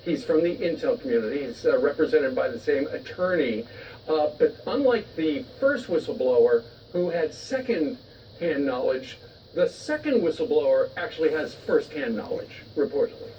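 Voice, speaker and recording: A man with a fairly high voice presents morning news conversationally. He sounds slightly far from the mic, with a dull tone to the recording. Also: expressive, normal speed. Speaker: morning news show host. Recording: muffled, distant, faint noise.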